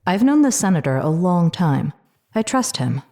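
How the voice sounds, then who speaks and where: Woman playing a lowish-voiced, direct questioner. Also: sing-song, normal speed, warm tone. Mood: fair-minded. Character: curious, fair-minded woman questioner among officials. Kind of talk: audio drama acting.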